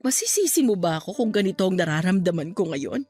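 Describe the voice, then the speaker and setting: A woman's voice: fairly low pitch, expressive, fast, mellow, breathy edge. An actress playing a grieving, devout young woman in a radio-style drama, sorrowful, reflective and pleading as she questions her faith. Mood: anguished, bitter. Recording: music bed behind voice.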